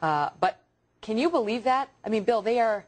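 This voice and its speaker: A woman's voice: fairly high pitch, expressive, normal speed. A woman with an American accent presenting live breaking news and commenting on it emphatically as the anchor.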